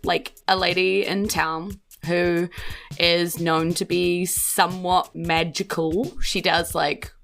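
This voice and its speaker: Low, creaky New Zealand woman game master. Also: level tone, takes it slowly, a bit bright, soft background music. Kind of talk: casual improvised tabletop game narration.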